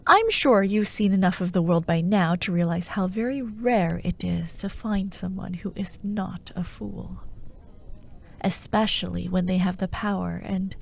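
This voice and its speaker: A woman playing a sardonic female fantasy villain who haunts dreams, in a breathy, often whispered ASMR roleplay with a wry, taunting manner. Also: medium pitch. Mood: cool, condescending. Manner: wry, taunting, theatrical, unhurried.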